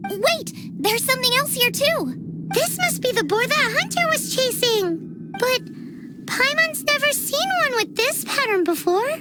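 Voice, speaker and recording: A woman voicing an excitable, curious, childlike girl companion in a video game. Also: very high-pitched, measured pace, loud music behind the voice. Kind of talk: video game character dialogue.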